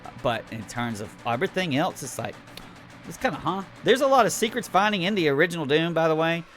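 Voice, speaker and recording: A man's voice: a little high, sing-song, fast, over music. A man giving an unscripted, casual game review in a rambling, blunt, griping and sweary way.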